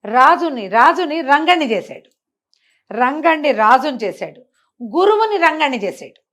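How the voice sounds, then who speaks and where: A woman's voice: sits high, big pitch swings, normal speed, a bit bright. A woman voicing a clever young male disciple who gives orders and teaches by asking questions, in a storybook tale. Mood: confident, teaching. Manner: confident, questioning, giving orders.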